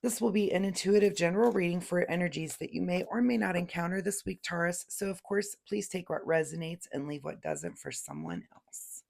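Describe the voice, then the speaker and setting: A woman's voice: fairly low pitch, very animated, brisk, gravelly, hardly any pauses. A woman giving a casual, chatty tarot reading to camera while recovering from laryngitis. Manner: chatty, gentle, many fillers and restarts. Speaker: tarot reader.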